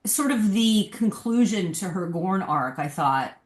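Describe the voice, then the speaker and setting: A woman's voice: medium pitch, expressive, a little slow. A woman chatting casually as a guest on a podcast panel, in roomy sound. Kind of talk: casual chat, discussion.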